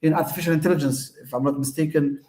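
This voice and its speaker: A man from Tunisia hosts a casual chat, explaining things with plenty of uhs and false starts. His voice sits a bit high for a man. The room around him rings with echo. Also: fairly even intonation, quick pace, mellow. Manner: hesitant, many fillers and restarts. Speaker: host.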